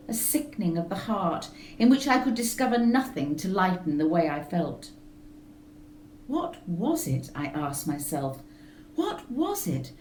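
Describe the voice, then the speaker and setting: A woman narrating a gothic story in a measured, expressive reading. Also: lowish voice, reverberant, slight background noise, poor audio. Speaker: reader of a story. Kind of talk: scripted narration of a gothic tale.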